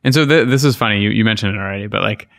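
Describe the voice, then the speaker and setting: A man hosts a podcast interview from a small studio, asking relaxed questions into a boom mic. His voice is very low with a gravelly fry. The sound is dry and close, with no room echo. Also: very fast. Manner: brief encouraging backchannels, relaxed questions. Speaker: podcast host.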